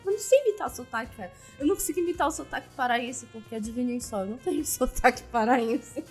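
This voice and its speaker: A woman podcaster talking in a lively, self-mocking way, her voice sitting a little high. Faint music plays quietly behind her. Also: fast talker. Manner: animated, self-deprecating, laughs often. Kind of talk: casual podcast chat.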